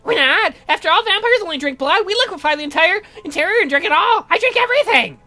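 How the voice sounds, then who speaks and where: A ghoulish, man-eating young lady cousin acted out by a man from a horror game. The voice is extremely high and bright, rattling along quickly with gleeful, theatrical eagerness. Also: expressive, faint music behind, full character voice. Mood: ghoulish delight.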